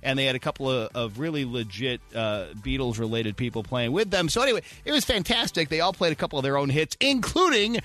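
A man's voice: mid-range voice, expressive, quick pace, few pauses, sharp tone. A man co-hosting a morning radio show, telling a story with enthusiasm in casual banter.

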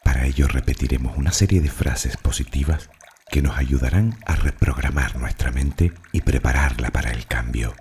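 A man leading a guided meditation in Spanish affirmations, speaking calmly and slowly with soothing pauses over soft music. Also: a bass voice, a little hiss or hum. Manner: calm, slow, soothing delivery with long pauses.

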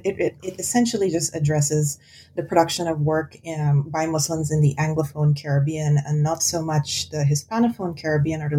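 Low-voiced woman scholar, thoughtful. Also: warm tone, slight background noise. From Guyana. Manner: thoughtful, frequent ums and restarts. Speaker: author and guest. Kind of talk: academic interview conversation.

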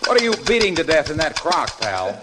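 A man's voice: fairly high pitch, wildly swooping pitch, fairly quick. A man playing a hearty, polished salesman and family friend, bantering in a 1940s radio comedy. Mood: genial. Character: genial, smooth-talking family friend and salesman. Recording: noisy vintage radio recording.

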